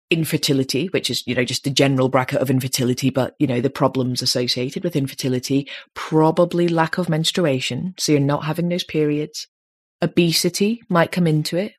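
Candid woman in her late thirties. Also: no echo. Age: 30s. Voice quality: slightly deep voice. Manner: chatty, candid, personal asides. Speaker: podcast host. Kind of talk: casual advice chat.

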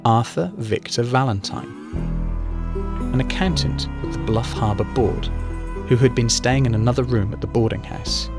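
A man reading a true-crime feature article in measured scripted narration. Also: lowish voice, creaky.

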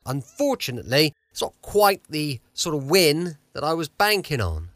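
A man's voice: a little high, big pitch swings, moderate pace, fairly bright tone, dry recording. A man with a British accent chatting informally as he introduces his podcast. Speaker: podcast host.

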